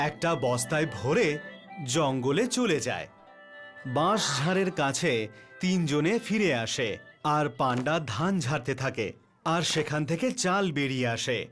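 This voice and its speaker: A man's voice: a little high, a bit bright. A man narrating a children's cartoon story in a scripted storytelling style. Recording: faint music bed under voice.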